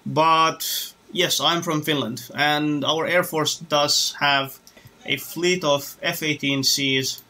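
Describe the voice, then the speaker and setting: Bright-voiced man rambling while flying. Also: fairly high pitch, steady tone, slow pace. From Romania. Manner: rambling, hesitant, many restarts. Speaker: flight sim streamer. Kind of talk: casual live-stream chat while flying.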